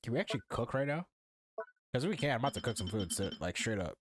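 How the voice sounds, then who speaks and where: A man's voice: mid-range voice, big pitch swings, quick pace, breathy edge. Young man doing casual sweary game commentary. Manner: profane, incredulous, joking. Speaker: game streamer. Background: game music.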